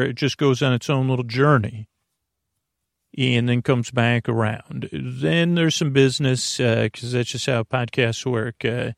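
Male bedtime podcast host, heavy vocal fry, halting meandering delivery, frequent tangents, dry studio-like sound. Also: medium pitch, no room sound. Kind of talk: rambling improvised bedtime podcast monologue.

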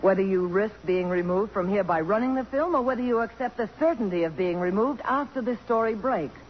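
An American actress playing a principled, defiant woman journalist with a crisp, cutting delivery in a 1950s radio drama. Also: mid-range voice, brisk, lightly acted. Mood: cold, cutting. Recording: dull, noisy old radio broadcast recording.

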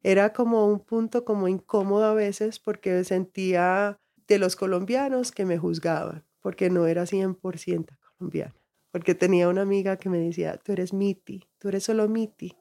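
A woman's voice: medium pitch, fairly even intonation, moderate pace, warm tone. A middle-aged woman, raised in the United States, reflectively telling her life story as an interview guest, restarting phrases often. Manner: reflective, frequent restarts and laughs.

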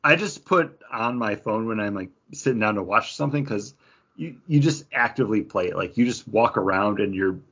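A man co-hosting a podcast in casual chat, quick and jokey. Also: lowish voice, lively intonation, a bit bright, breathy edge, muffled recording. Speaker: podcast co-host.

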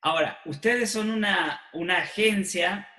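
A man's voice: high-pitched, unhurried, pushed and bright. A man hosting an informal interview show. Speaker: show host. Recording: echoey room.

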